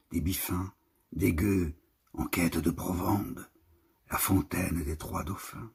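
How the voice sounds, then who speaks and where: A man with a husky, raspy voice reciting a literary poem expressively, in measured, declamatory phrases with marked pauses. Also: deep voice. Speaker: poetry reader.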